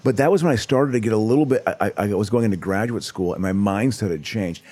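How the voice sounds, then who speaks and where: A man's voice: lowish voice, fast talker, darkish tone. A middle-aged man co-hosting a casual podcast chat. He rambles and restarts his sentences, hedging his points. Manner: rambling, hesitant, many restarts. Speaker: podcast co-host.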